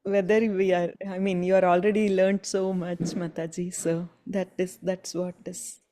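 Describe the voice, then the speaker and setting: A woman's voice: fairly low pitch, little pitch movement, normal speed, dark tone, a little hiss or hum. A woman in her fifties chatting on a devotional group call, admiring and laughing often. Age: 50s. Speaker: participant. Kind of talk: casual group video call.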